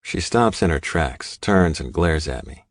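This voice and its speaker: A man narrating gritty first-person fiction as an audiobook, with an intense, deliberate delivery. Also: low voice, lively intonation, dry recording, clean studio sound.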